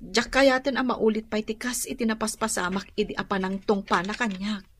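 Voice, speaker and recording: An actress playing a young wife in an Ilocano radio drama. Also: female voice, mid-range voice, fairly even intonation, moderate pace, slight background noise, natural voice. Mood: worried. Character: worried young wife, wary of her in-laws.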